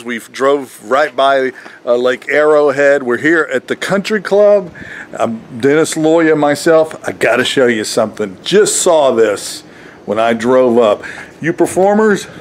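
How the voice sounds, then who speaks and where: A man's voice: medium pitch, a little slow. Male vlog host, comedian type, mid-range voice, chatty and informal, faint traffic and outdoor noise. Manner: chatty, addresses viewers as folks. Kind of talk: casual vlog talk to camera. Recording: noisy outdoor and vehicle background.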